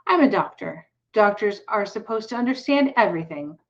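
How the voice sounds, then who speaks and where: A calm, reflective old country doctor from a storybook, voiced by a woman reading aloud. He speaks in an unhurried, musing way. The recording is slightly dull and lo-fi. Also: medium pitch, natural voice.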